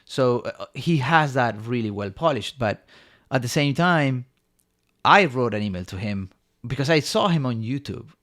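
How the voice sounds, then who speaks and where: A man's voice: mid-range voice, lively intonation, moderate pace, fairly bright tone. A man from Argentina, a company co-founder, eagerly telling his story in a rambling way with many fillers. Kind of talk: casual storytelling in an interview.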